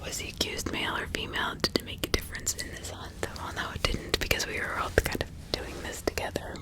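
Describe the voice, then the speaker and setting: A woman speaking in a breathy, hushed whisper as an ASMR host, reading and role-playing in an informal, conversational way. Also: fast. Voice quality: breathy unvoiced whisper with some crackle.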